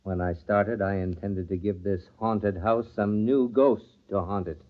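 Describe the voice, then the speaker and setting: An actor playing a coldly possessive, menacing wronged husband in an old radio thriller, speaking deliberately and ominously. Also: male voice, fairly low pitch, steady tone, lightly acted. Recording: thin, phone-like old radio recording.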